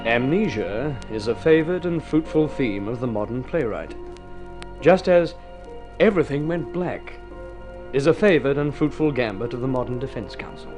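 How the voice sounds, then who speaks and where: A man's voice: mid-range voice, lively intonation, normal speed. A man hosting and narrating a vintage radio drama series in a formal, urbane, theatrical manner. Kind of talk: 1950s radio scripted narration. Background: music.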